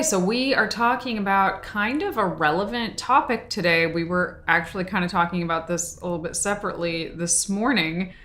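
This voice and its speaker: A woman co-hosts a podcast in an easygoing, chatty way. Her voice sits slightly low, and she drifts into quick asides and laughs easily. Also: pushed and bright. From Boston area. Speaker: podcast co-host. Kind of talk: casual podcast chat.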